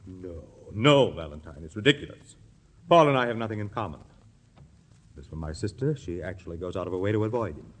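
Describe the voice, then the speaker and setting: An actor playing a tense, possessive brother in a vintage radio drama, protective and agitated. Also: male voice, low voice, animated, fairly quick. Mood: uneasy protest.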